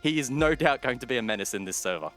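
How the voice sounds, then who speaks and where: A man's voice: medium pitch, expressive, quick pace, fairly bright tone, faint music behind. A man casting an esports match, rattling off stats and player history in detail. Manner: detailed, stat-heavy, rattles off facts. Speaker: esports caster. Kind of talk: play-by-play commentary.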